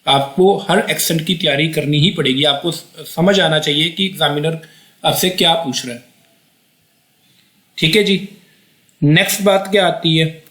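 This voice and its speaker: A man's voice: a little high, normal speed, long pauses, fairly bright tone. A young man giving an exam-prep video lesson in a chatty, repetitive way, often restarting sentences and checking in with the viewer. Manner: chatty, repeats himself, restarts sentences, frequent "theek hai ji". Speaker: IELTS tutor. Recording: echoey room.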